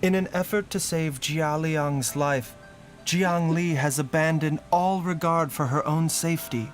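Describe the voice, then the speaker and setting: A man voicing a calm, courteous, learned young doctor in a video game, speaking in measured, formal explanations. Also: a little high, lightly acted. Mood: grave. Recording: game audio with music behind.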